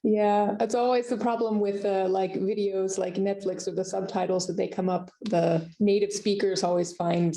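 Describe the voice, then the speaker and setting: A woman's voice: medium pitch, fairly even intonation, normal speed, hardly any pauses, mellow, rough recording. A woman hosting a conference Q&A on a video call, friendly and affirming, with hesitant fillers. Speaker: conference host. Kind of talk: conference Q&A conversation.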